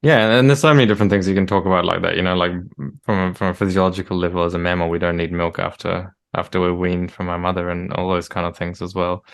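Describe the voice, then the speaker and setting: A man hosts a relaxed interview, backing up his guest with quiet "mm"s and hesitant fillers. His voice is very low and smooth, and his delivery is flat and even. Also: quick pace, few pauses. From New Zealand. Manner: easygoing, soft affirmations, some hesitant fillers. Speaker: podcast host. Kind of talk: casual interview chat.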